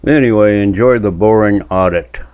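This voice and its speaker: Deep-voiced sardonic commentary host. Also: male voice, a little mellow, smooth, no room sound, muffled recording. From New Hampshire, US. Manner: dry, sardonic, drawn-out remarks. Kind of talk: casual reaction commentary.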